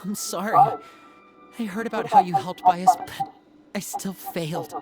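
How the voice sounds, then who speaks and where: A woman's voice: fairly low pitch, measured pace, over loud music. A woman playing a downcast young video game character, speaking in an apologetic, dejected way. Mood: remorseful. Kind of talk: dramatic video game dialogue.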